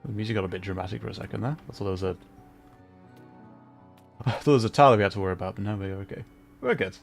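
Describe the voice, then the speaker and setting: A man with a fairly low voice hosts a laid-back gaming stream. He talks casually and carefully, often hedging as he goes, with faint music playing behind him. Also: racing, vocal fry. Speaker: livestream host, gamer. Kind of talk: casual livestream chat while playing a video game.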